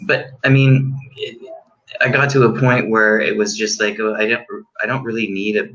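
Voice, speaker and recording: A man's voice: lowish voice, little pitch movement, moderate pace, a bit bright, dull audio. A man talking casually as a guest musician, rambling with many fillers and restarts. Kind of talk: casual chat.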